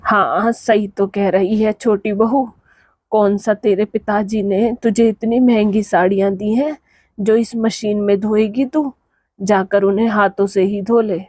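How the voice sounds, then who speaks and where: Woman doing older saas in a cartoon story, scolding n reproachful, mid pitch, soft dark tone, dry audio. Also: fairly even intonation, measured pace, no room sound, lightly acted. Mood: scornful. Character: scolding, status-minded older mother-in-law. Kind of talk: Hindi cartoon moral-story dialogue.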